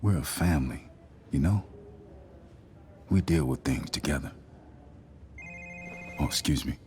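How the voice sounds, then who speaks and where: A man playing an earnest, worried middle-aged working father in a video game, speaking in a gentle, folksy, halting way. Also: a bass voice, a little hiss or hum. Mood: concerned. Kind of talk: naturalistic video game voice acting.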